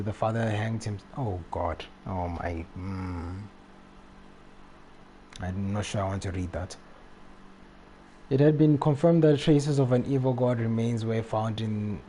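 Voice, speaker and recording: A man live streaming a video game, reading text aloud and commenting casually in a halting way. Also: fairly low pitch, steady tone, dark tone. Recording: faint background noise, game ambience.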